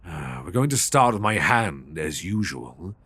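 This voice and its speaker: A British man playing a stern, possessive, dominant lover in an intimate ASMR roleplay, speaking deliberately and commandingly. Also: low-pitched, very animated, very noisy. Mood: calmly commanding. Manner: slow, deliberate, commanding with soft endearments.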